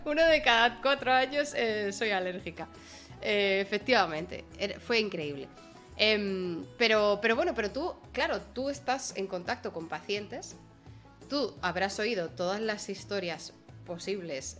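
Woman, medium pitch, chatty storyteller, video call, faint music behind. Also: lively intonation, measured pace, a bit bright. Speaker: host. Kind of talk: casual chat, storytelling.

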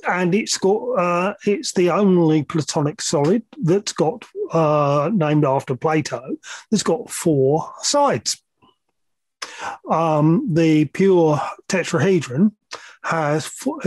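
A man's voice: on the high side, lively intonation, slow, darkish tone, no room sound. A middle-aged man explaining technical matters as an interview guest, hesitant at times, with plain-spoken asides. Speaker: podcast guest, loudspeaker measurement engineer.

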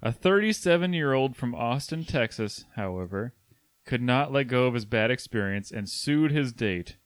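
A man's voice: medium pitch, normal speed, dry recording. Host guy reading a silly case, bouncy voice, dry mic. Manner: laughing, lively reading. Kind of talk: reading a news story aloud, casual podcast.

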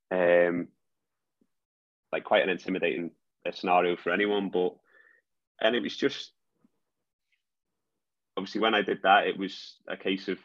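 A man's voice: low-pitched, fairly bright tone. A young man who stammers, talking casually about growing up with it, with many restarts. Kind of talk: casual conversation. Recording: dull lo-fi sound.

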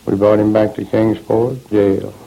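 A man with a Southern Appalachian accent and a gravelly voice, a deputy sheriff recounting events in a folksy, matter-of-fact way. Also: lowish voice, little pitch movement, a little slow, music in the background. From Appalachian Tennessee, United States. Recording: dull old lo-fi recording.

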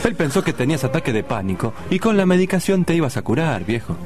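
Casting: male actor as wisecracking Rioplatense son, sardonic, very animated, music behind, comic radio play. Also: a little high, fast. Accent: Argentine Rioplatense. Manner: sardonic, colloquial. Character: wisecracking grown son teasing his father.

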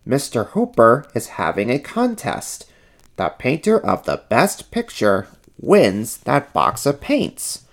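Storybook casting: kindly male puppet pal, encouraging, plain-spoken, unhurried played by an adult reader. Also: mid-range voice, wildly swooping pitch, gravelly, exaggerated voice. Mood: helpful. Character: Bert, supportive, kindly male puppet buddy.

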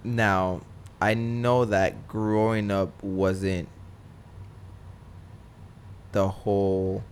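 A young man hosting a casual podcast interview, relaxed, with lots of ums and easy laughs. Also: on the low side, extremely slow, slight background noise. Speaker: podcast host.

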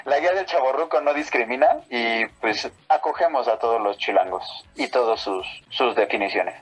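A man's voice: medium pitch, expressive, normal speed, rough recording. Jokey podcast host man. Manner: laughs often, jokey interviewer. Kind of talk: casual chat.

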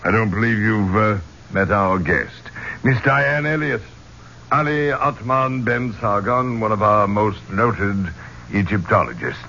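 An actor playing a courteous, placating gentleman who leads an archaeological expedition in a 1970s radio drama. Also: on the low side, a little slow, vocal fry, heavily acted. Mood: genial, courteous. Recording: muffled, hissy 1970s radio recording.

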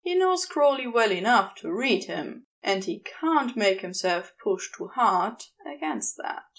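A woman narrating a piece of fiction as an audiobook reader, with measured, intimate storytelling. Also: mid-range voice, sing-song, a bit bright. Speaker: podfic reader.

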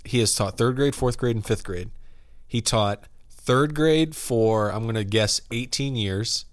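A man's voice: mid-range voice, a little slow, dry recording, clean studio sound. A young man in his twenties hosting a podcast, chatting casually, rambling and laughing often. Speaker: podcast host.

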